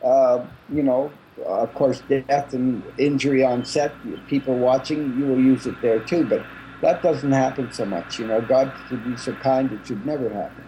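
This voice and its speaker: A man guest in a casual chat, jokey and animated, speaking over a video call. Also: medium pitch, moderate pace, noisy background. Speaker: interview guest.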